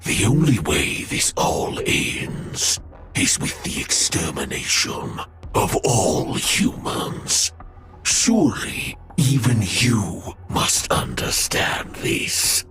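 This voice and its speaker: An actor playing a menacing machine villain in a video game, mocking and condescending toward humans. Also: male voice, deep voice, wildly swooping pitch, slow, music in the background, heavily acted. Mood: taunting menace. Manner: taunting, condescending. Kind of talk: dramatic video game voice acting.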